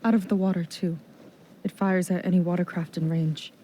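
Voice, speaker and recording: A woman's voice: fairly low pitch, steady tone, moderate pace, warm tone, slight background noise, natural voice, low-quality recording. A woman playing a determined young soldier in a video game, speaking earnestly and with intensity. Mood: guarded. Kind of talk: video game cutscene acting.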